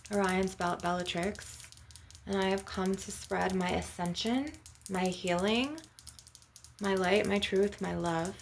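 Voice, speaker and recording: A woman's voice: medium pitch, creaky, slight background noise. A woman hosting an ASMR spiritual healing session, speaking to the viewer in an earnest, affirming, instructive way. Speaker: spiritual healer and channel host.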